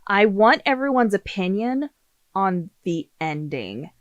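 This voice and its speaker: A woman's voice: mid-range voice, big pitch swings, takes it slowly, dry recording, muffled recording. A woman hosting a casual podcast discussion, speaking in an opinionated, emphatic way and swearing freely. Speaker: podcast host.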